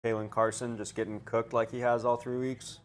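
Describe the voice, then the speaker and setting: A man's voice: mid-range voice, flat delivery, brisk. A man commentating on a game in casual, rambling sports chat with plenty of swearing.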